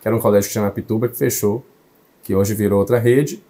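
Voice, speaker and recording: Young male journalist, mellow voice, reflective and fluent interview guest. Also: on the low side, fairly even intonation, quick pace. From Salvador, Bahia, Brazil. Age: young adult. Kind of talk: interview conversation.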